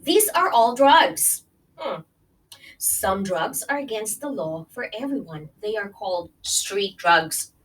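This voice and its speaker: A woman's voice: mid-range voice, very animated, slow pace, a bit bright, far from the mic, poor audio. A woman teacher reading a health lesson aloud to children, addressing them directly and checking in as she explains. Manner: addresses young listeners directly with friendly check-ins like "okay?" and "right?". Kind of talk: read-along children's health lesson, pausing to explain and question.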